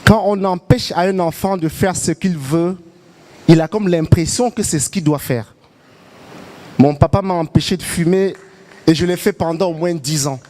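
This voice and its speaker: Activist guy talking at a panel, fairly high voice, emphatic and thoughtful. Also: moderate pace, dark tone. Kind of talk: public debate remarks.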